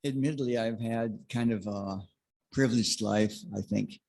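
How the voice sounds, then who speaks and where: A man offering an unscripted personal reflection in a measured, modest way. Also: lowish voice, vocal fry, darkish tone. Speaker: congregation member sharing.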